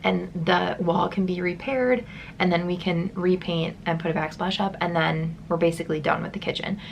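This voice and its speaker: A woman's voice: on the low side, fairly even intonation, normal speed, creaky, a little hiss or hum. A young woman vlogging at home as she gets ready, talking in a loose, rambling way with lots of "like" and "um", her voice stuffy and congested. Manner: casual and rambling, many likes and ums. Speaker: beauty vlogger. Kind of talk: chatty get-ready-with-me vlog.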